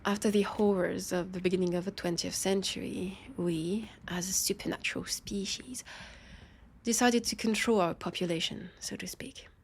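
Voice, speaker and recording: A sardonic female vampire acted by a woman with a French accent and a husky, breathy voice. Her words often settle into a creak. She teases her new partner with haughty, playful dryness, lingering between phrases as in an intimate audio roleplay. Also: medium pitch, steady tone. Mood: explanatory, measured. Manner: playful, dry teasing with lingering pauses. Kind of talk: roleplay audio drama, intimate one-sided dialogue.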